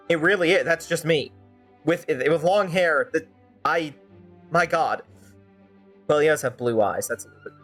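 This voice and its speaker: A streamer giving casual, rambling game commentary in a mock-exasperated, animated way. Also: medium pitch, slightly rough. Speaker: streamer fan-dubbing a game live.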